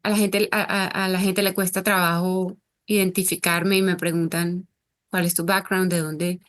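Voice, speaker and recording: A woman's voice: on the low side, level tone, normal speed. Earnest Colombian woman, interview guest. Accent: Colombian Spanish. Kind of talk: casual interview conversation.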